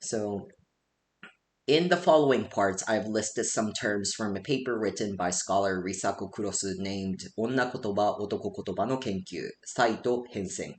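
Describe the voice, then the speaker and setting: Deep-voiced man. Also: moderate pace. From Japan. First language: Japanese. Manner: loose and conversational, frequent "like" fillers. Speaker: presenter. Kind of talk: casual spoken lecture. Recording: echoey room with faint hiss.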